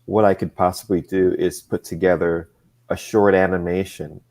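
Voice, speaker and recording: A man with a very low, deep voice talks through his thinking in a casual discussion. He restarts his sentences often and picks his words with care. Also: flat delivery, a little mellow. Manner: halting, restarts often, measured. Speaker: comics creator.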